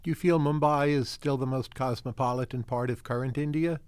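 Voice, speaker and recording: A man's voice: mid-range voice, fairly even intonation, brisk, a little mellow, no echo, clean studio sound. Older man asking precise questions. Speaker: podcast host. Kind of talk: interview questions. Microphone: headphones, video call.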